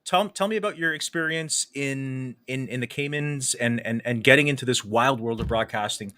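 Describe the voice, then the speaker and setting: A man's voice: mid-range voice, moderate pace, sharp tone, dry recording. A man hosting a casual podcast interview, enthusiastic and often restarting his words. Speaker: podcast host.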